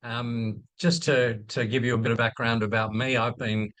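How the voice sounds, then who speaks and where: A man's voice: mid-range voice, level tone, moderate pace, fairly bright tone, no echo, rough recording. A man in his fifties with an Australian accent, speaking unscripted on a video call with many ums and restarts. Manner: hesitant, many fillers and restarts. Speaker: infectious disease physician, program director. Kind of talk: unscripted talk in a webinar.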